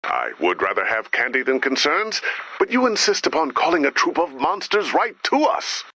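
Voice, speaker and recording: A man voicing an anxious, cautious pessimist in a video game, with a raspy voice and a dry, worried manner. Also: on the high side, wildly swooping pitch, normal speed, bright, forceful tone, no room sound, exaggerated voice, rough recording. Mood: concerned.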